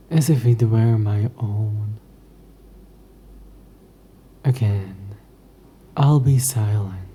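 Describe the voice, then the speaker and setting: A man's voice: fairly low pitch, dark tone, slight background noise. A man playing an ancient, possessive demon in an intimate audio roleplay, speaking to the listener in a formal, wry, murmuring way. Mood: soothing. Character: ancient possessive male demon, sardonic, curious, teasing.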